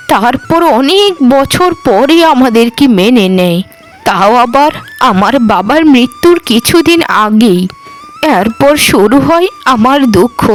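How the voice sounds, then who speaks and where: Woman playing mournful, high-voiced older mother-in-law. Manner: consoling, mournful. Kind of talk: melodramatic cartoon dialogue acting.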